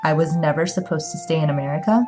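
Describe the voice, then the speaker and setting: A woman's voice: low-pitched, fast, mellow, soft background music, lightly acted. Woman doing a fiery young chinese daughter in a novel, indignant, urgent, defiant. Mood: hurt, furious.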